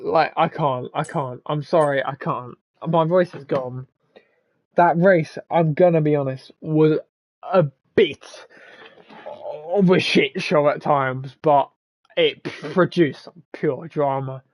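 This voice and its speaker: A man with a worn-out, hoarse voice stumbles through a casual race wrap-up, restarting his sentences and swearing now and then. He talks slowly. His voice comes through a dull, dry lo-fi mic. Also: on the high side, steady tone, no room sound. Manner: stammering, rambling, restarts often; swears, laughs at himself. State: hoarse, voice strained from shouting. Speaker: race commentator.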